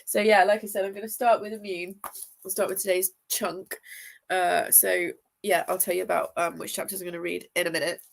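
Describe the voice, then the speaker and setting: A woman's voice: fairly low pitch, flat delivery, fairly quick, gravelly, a little hiss or hum. A young woman with a congested, sniffly voice from a chest infection, chatting casually and rambling with viewers on a live stream. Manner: chatty and rambling, lots of fillers, greets viewers. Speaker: booktuber hosting a live reading sprint; teacher.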